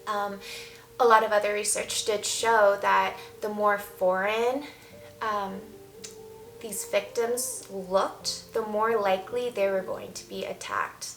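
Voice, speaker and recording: A woman's voice: mid-range voice, fairly even intonation, slow pace. Woman vlogging in an echoey room. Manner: candid, opinionated, emphatic. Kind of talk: casual opinion talk to camera. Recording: roomy echo, faint background music. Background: soft background music.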